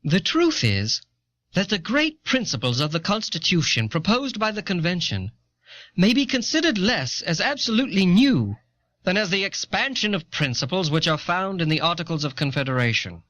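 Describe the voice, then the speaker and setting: A man's voice: high-pitched, very animated, moderate pace, a bit bright, no room sound. A man playing an earnest, learned 18th-century American statesman, reading his writings formally and oratorically on an old cassette. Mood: earnest, persuasive. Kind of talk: dramatic reading of historical writings. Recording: dull, dry lo-fi old cassette.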